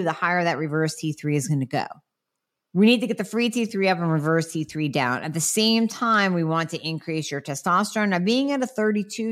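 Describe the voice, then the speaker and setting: Middle-aged woman practitioner, lowish voice gone nasal w a cold, frank chatty q&a. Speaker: health practitioner answering viewer questions. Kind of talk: casual Q&A talk.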